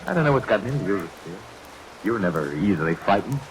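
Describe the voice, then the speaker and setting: A man's voice: on the low side, animated, very fast, crowd noise, close to an everyday voice, low-quality recording. An actor playing a stubborn, brusque man who dismisses others' fears in a vintage radio play. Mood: impatient, reassuring. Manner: impatient, brusque. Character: stubborn, dismissive man insisting all is normal. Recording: vintage radio recording with rain noise. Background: rain.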